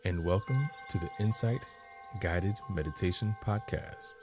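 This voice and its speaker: Very deep, slow-spoken man guiding meditation. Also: expressive, over music. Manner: slow, measured phrasing with long pauses. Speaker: meditation guide and podcast host. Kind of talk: guided meditation narration.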